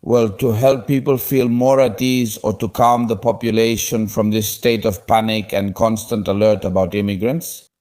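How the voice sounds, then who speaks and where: A man's voice: lowish voice, fairly even intonation, moderate pace, hardly any pauses, darkish tone. A man hosting a casual interview, probing his guest with rhetorical questions. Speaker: host.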